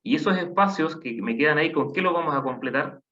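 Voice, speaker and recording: A man's voice: fairly high pitch, expressive, fast talker, a bit bright. A man teaching a math class online, patiently counting aloud and asking the class questions. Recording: dull lo-fi online class audio.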